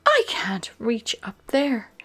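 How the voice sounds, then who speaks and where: Lady doing a little boy puppy, high n excitable, husky edge, polite, kids storybook. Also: slow pace, heavily acted. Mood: dismayed. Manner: exclaiming, childlike, eager. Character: excitable young male puppy, cheerful and polite.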